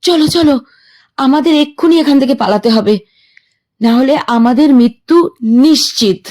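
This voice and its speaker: A frightened young heroine, played by a woman, speaking in a tense, hushed way. Her voice sits a little high. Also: measured pace.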